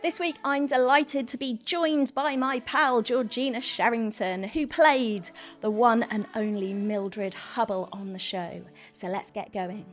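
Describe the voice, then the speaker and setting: A woman's voice: a little high, fairly even intonation, measured pace, few pauses, faint music behind. A woman in her mid-forties with a Welsh accent, hosting a podcast with casual, reminiscing chat. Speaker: podcast host, former child actor.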